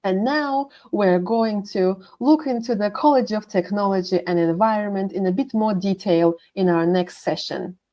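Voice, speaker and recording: Woman doing a training session, calm warm voice, reading off a list of schools. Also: mid-range voice, moderate pace, few pauses, dull audio. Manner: steady listing of school names. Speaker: international manager. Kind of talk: scripted training presentation.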